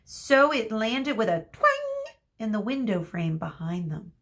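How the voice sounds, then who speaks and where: A woman narrating a children's story aloud like a teacher, expressive, dramatic and deliberate. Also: fairly high pitch. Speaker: teacher reading aloud to students.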